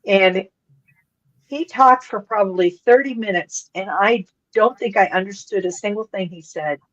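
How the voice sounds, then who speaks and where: A woman's voice: mid-range voice, moderate pace, a bit bright, dull audio. A woman co-hosting an interview, telling stories in a chatty way with lots of fillers. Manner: chatty storytelling with many fillers. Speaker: co-host. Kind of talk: casual interview chat.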